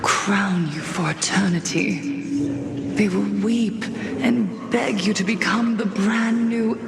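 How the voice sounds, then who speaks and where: Slow, echoing, seductive godlike tempter. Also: female voice, medium pitch. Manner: slow, grandiose, coaxing delivery. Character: seductive, godlike female illusionist tempter, soothing and menacing. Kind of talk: scripted video game voice acting. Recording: echoing voice over game music.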